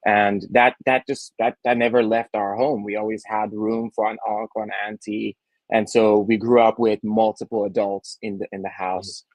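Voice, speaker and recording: A man's voice: fairly low pitch, little pitch movement, moderate pace, darkish tone, no room sound, rough recording. A young man from Nigeria, a startup founder, talking earnestly as a guest with many fillers and restarts. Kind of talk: casual interview talk.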